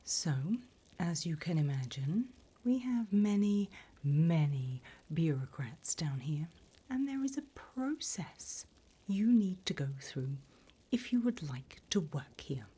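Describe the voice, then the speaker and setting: Here is a woman playing a gleefully wicked demoness conducting an infernal interview in an ASMR roleplay. She speaks in a very breathy whisper, low and unhurried. Her phrasing swoops and lingers, mock-formal and teasing. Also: very animated, mellow, heavily acted. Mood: sly, businesslike.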